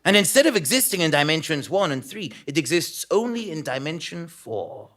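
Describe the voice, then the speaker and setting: A pompous, animated investigative journalist. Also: male voice, on the high side. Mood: self-important, earnest. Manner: pompous, deliberate, grandiose. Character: pompous, self-regarding male investigative journalist narrating his case. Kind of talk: mock-noir scripted audio drama narration.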